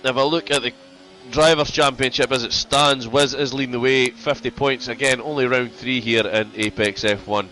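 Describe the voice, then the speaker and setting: A man commentating a sim race, his voice stuffy from a cold. His tone is bright and forceful, and he chats easily, often repeating himself as he banters. Faint music sits underneath. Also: medium pitch, steady tone, moderate pace, few pauses. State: congested with a cold.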